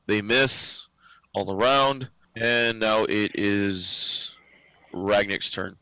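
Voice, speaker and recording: A man acting as game master in a tabletop role-playing game, relaxed and joking, laughing often as he calls out turns and damage. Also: fairly low pitch, extremely slow, clear, resonant tone, dry recording, low-quality recording.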